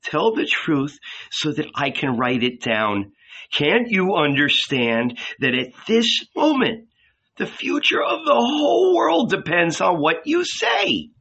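A man's voice: fairly high pitch, animated, slow pace, pushed and bright, muffled recording, voice pushed up, heavily acted. A man voicing an anxious, earnest male disciple in a storybook reading, urgent and pleading. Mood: urgent, begging.

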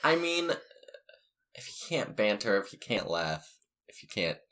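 Slow-talking man with a scratchy morning throat. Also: lowish voice, sing-song, fairly bright tone. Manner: laid-back, joking, giggly. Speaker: podcast host. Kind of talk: casual podcast chat.

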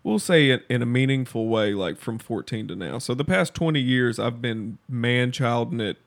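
A man's voice: medium pitch, expressive, moderate pace. A man in his thirties on a podcast, talking casually, bluntly and reflectively, and swearing freely.

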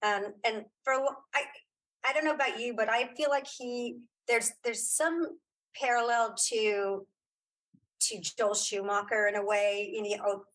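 A middle-aged woman with a fairly high voice speaks as a guest in a casual interview. She tells stories in an animated, emphatic manner, often feeling around for the right name as she goes. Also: unhurried, poor audio. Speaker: interview guest, writer and director. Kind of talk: casual chat, telling anecdotes.

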